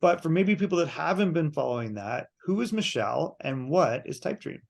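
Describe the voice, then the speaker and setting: Male podcast host, fairly high-pitched and gravelly, chatty and affirming. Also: animated, brisk. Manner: chatty, affirming, laughs easily. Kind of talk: casual interview hosting.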